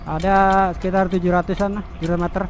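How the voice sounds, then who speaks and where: A man's voice: high voice, level tone, fast talker. A man, explaining gear casually like a motovlogger over a helmet intercom. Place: outdoors, riding a motorbike on the road. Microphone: helmet intercom headset. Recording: intercom headset with background music.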